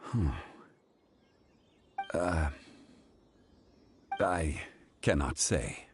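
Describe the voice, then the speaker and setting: A man playing an ancient god in human form in a video game, speaking in a measured, formal and grave manner. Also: very deep voice, animated, very noisy, exaggerated voice. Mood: solemn, regretful. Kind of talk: video game character acting. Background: music.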